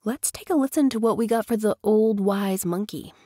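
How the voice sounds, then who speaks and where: A woman giving a casual, chatty software tutorial voice-over as host. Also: mid-range voice, moderate pace, no echo, studio quality. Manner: informal, chatty. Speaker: tutorial host, professional narrator.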